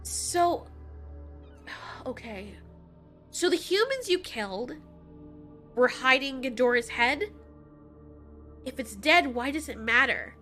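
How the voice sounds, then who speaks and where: A woman's voice: sits high, animated, slow pace, pauses often, pushed and bright, soft background music, full character voice. A woman voicing a distressed female giant moth monster in an audio drama, exclamatory, stammering and incredulous. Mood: disbelieving.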